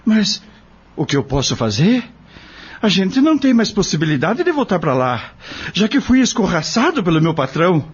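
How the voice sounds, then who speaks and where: Um ator com sotaque brasileiro interpretando um pai humilde e preocupado do interior, em radionovela, com fala simples e queixosa. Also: male voice, high-pitched, sing-song, normal speed, breathy edge, heavily acted. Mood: worried, puzzled. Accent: Brazilian. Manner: plaintive, simple colloquial speech. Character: humble, worried rural father, a dismissed farmhand. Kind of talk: audio drama acting. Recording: dull lo-fi audio.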